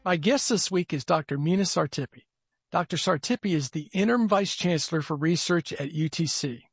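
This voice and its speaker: A man's voice: a little high, creaky, husky, no room sound, studio quality. A man hosting a podcast in a friendly, casual interview style, often repeating words as he starts a sentence. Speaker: podcast host.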